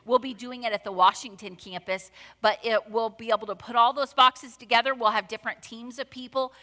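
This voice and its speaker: A woman's voice: on the high side, steady tone, a little fast, sharp tone. A middle-aged woman preaching a sermon in a church, talking conversationally and with emphasis. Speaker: pastor. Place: church sanctuary. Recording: long church hall reverb.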